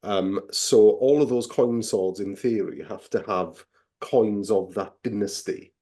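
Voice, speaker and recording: A man's voice: lowish voice, a little slow, warm tone. A man co-hosting a casual film podcast, swearing freely as he explains folklore at length. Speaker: podcast co-host.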